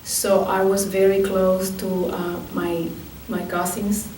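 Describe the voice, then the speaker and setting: A woman in her fifties from Puerto Rico tells her life story in an oral history interview, with frequent thoughtful pauses. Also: medium pitch, flat delivery, darkish tone. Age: 50s. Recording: echoey room from far off, faint noise.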